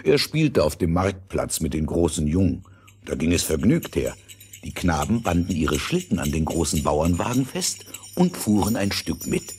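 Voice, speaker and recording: A man narrating a scripted fairy tale in a dramatic, deliberate storytelling manner. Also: low voice, sing-song, darkish tone.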